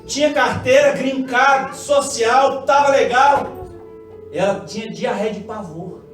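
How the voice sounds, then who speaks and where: A Brazilian man from Minas Gerais with a Mineiro accent, preaching an impassioned sermon and swinging from hushed asides to shouted climaxes. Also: very high voice, slow. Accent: Mineiro Brazilian Portuguese. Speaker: pastor. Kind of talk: Pentecostal sermon with call-and-response. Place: church hall. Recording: very echoey church, far from mic, music behind.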